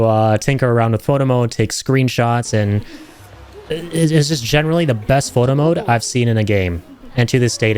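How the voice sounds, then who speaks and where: A man's voice: mid-range voice, a little mellow, clear, resonant tone. A man giving casual, enthusiastic reaction commentary over game footage in an informal, slangy, chatty way. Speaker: host of a gaming reaction channel.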